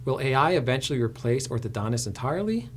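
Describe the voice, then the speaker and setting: A man's voice: medium pitch, very animated, fast, a little hiss or hum. A man hosting an interview podcast, introducing topics and putting questions to a guest. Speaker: podcast host.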